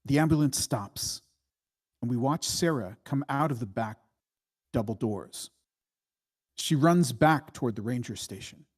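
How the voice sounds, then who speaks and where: A man reading screenplay stage directions as scripted narration in a measured, matter-of-fact way. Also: mid-range voice, animated, darkish tone, breathy edge.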